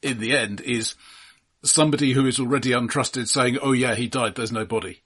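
A man's voice: medium pitch, steady tone, a little fast, a bit bright, slightly rough. A man in casual film podcast conversation, with hesitant starts and dry understatement. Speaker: film discussion podcast host. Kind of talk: casual film review chat.

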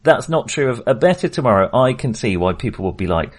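A middle-aged man co-hosting a film podcast, talking casually in a measured, hedging, wry way. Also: mid-range voice, big pitch swings.

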